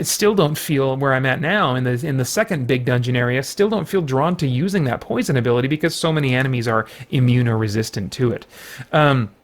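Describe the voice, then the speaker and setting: Man, game reviewer, talky and unscripted, slightly higher voice, lots of "um" and "you know". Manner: rambling, frequent fillers and restarts. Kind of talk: casual spoken review.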